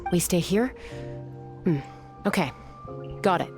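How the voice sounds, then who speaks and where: Resolute young game heroine: calm, earnest, fairly low, soft music under. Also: female voice, takes it slowly. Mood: serious. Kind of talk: game dialogue.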